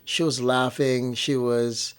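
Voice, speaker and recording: A young man with an average-pitched voice shares stories in a casual, conversational way. He talks like a parent co-hosting a family show. He often circles back to repeat or rephrase what he just said for emphasis. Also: flat delivery, takes it slowly, clean tone.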